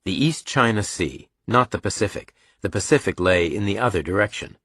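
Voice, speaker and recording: Low-voiced male audiobook narrator, close and dry. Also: lively intonation, brisk, a bit bright, breathy edge, no echo. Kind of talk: scripted dramatic narration of a thriller.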